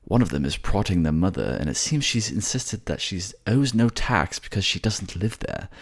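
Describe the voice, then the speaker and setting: A man's voice: deep voice, sing-song, slightly rough, heavily acted. A man playing an anxious, eloquent male mage narrating visions in a theatrical fantasy audio drama. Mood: uneasy. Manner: theatrical, halting as visions unfold.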